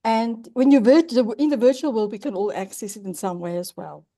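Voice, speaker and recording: A woman hosts an online interview and introduces her guest with effusive, emphatic praise. Her voice sits a little high, with a mellow, darker tone. She is heard over a video call. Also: fairly quick. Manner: effusive, emphatic, spiritual phrasing. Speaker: host.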